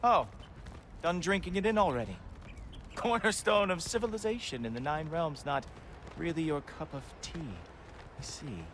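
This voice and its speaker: A man playing a haughty, condescending Norse guardian god in a video game, sarcastic and drawn-out in his delivery. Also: on the high side, big pitch swings, fairly bright tone, slight background noise. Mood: smug contempt. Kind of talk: video game cutscene acting.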